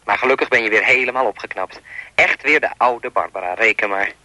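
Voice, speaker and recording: A man's voice: lowish voice, brisk, sharp tone, dry recording, rough recording. An actor playing a creepy, insistent anonymous caller in a radio play, needling and insinuating as he speaks. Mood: taunting, smug.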